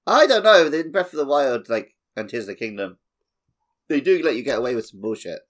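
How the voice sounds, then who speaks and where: A man's voice: mid-range voice, very animated, a little fast, fairly bright tone. A man co-hosting a podcast, joining in casual banter about video games. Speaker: podcast co-host.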